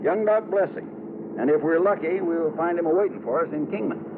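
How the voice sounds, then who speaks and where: A man acting a folksy, talkative small-town Westerner in a 1950s radio drama, with an unhurried drawl. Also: a little high, close to an everyday voice. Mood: folksy, grave. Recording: muffled narrowband old radio, loud noise.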